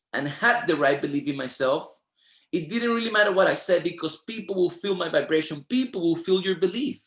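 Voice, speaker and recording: A man's voice: a little high, creaky, a bit bright, echoey room. A man whose first language is Spanish, speaking with a Spanish accent and giving a motivational training talk in an emphatic, repetitive, preacher-like rhythm. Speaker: network marketing trainer.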